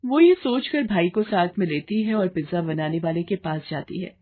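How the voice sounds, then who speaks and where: A woman's voice: lowish voice, expressive, moderate pace, phone-quality sound. A woman reading a scripted children's moral story as narrator.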